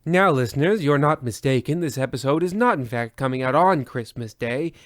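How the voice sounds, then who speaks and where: A man playing a pompous, deadpan horror-anthology host, narrating in a formal old-time radio style. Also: medium pitch, a little fast, no room sound, studio quality, lightly acted.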